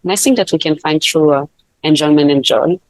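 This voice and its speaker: Low-voiced woman, laughing easily. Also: fairly quick, dry recording, poor audio. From Switzerland. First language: not English. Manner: laughs often, many fillers and restarts. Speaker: guest, life coach. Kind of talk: casual interview chat.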